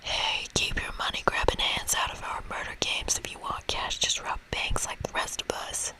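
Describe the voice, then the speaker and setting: A woman reading a video game aloud in a breathy ASMR whisper, playful and expressive, with casual asides. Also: moderate pace, rough recording. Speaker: ASMR gamer reading a game's dialogue aloud. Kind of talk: whispered ASMR let's play.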